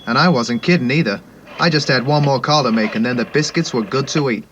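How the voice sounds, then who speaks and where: An actor playing a cocky, slangy, wisecracking private eye who narrates a parody 1950s radio noir drama. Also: male voice, mid-range voice, fairly quick, highs cut off, very noisy, lightly acted. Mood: knowing, storytelling. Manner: smug, slangy. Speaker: lead detective and narrator.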